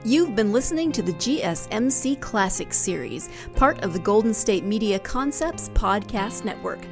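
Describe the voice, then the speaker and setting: A woman's voice: mid-range voice, lively intonation, normal speed. A woman reading a scripted promotional announcement for a podcast network. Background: music.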